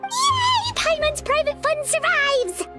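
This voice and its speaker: A woman voicing a small, excitable, childlike fairy companion in a video game, with a squeaky, expressive delivery. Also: takes it slowly, full character voice. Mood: delighted. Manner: refers to self in third person, expressive. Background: background music.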